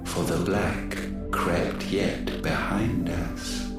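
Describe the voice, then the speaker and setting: A man voicing an ancient, haughty godlike being in a video game, speaking in solemn, archaic, measured monologue. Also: deep voice, little pitch movement. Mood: solemn, grave. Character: ancient godlike male being, grave, haughty, condemning mankind. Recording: echoing voice over loud music.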